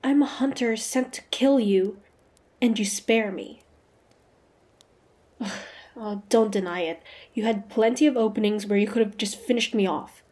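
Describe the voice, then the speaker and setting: A woman's voice: medium pitch, measured pace, long pauses, a little mellow, natural voice. A woman playing a proud, defiant monster hunter in a dramatic fantasy audio roleplay. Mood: indignant. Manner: haughty, taunting, dramatic. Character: proud, defiant young female monster hunter, grudgingly curious.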